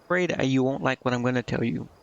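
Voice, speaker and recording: A man's voice: mid-range voice, fast, vocal fry, lo-fi sound, slight background noise. A man giving casual, hesitant game commentary, thinking aloud as he plays. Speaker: game streamer. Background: game music.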